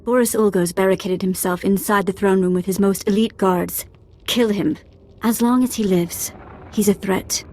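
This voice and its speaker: An actress playing a proud, vengeful princess in a video game, formal and forceful. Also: female voice, mid-range voice, fairly even intonation, normal speed, natural voice.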